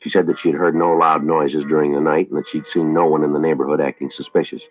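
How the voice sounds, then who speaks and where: Very deep, rapid-fire police detective. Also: male voice, level tone. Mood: clipped, official. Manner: terse, clipped, polite and procedural. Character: calm, businesslike male police detective and narrator. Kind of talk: old-time radio police drama acting and narration. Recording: dull, lo-fi vintage radio recording.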